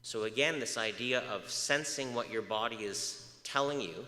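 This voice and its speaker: A man's voice: mid-range voice, moderate pace, sharp tone. A man giving a public lecture, asking the audience questions, with many uh fillers and moments off the microphone. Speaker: research speaker. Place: large echoey lecture hall. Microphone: moves off mic at times. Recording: echoey hall reverb.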